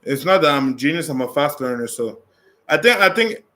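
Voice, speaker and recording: A man's voice: on the high side, expressive, a little fast, bright, forceful tone. Man chatting casually. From Canada. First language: French. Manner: loose and conversational, with frequent restarts. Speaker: vlogger. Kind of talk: casual chat answering viewer questions.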